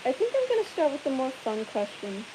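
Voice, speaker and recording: A young woman with a slightly high voice chats loosely on a livestream, giggling and rambling between viewer questions. A steady hiss sits under her voice throughout the recording. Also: fairly quick. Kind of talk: casual live Q&A chat. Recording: hissy background noise.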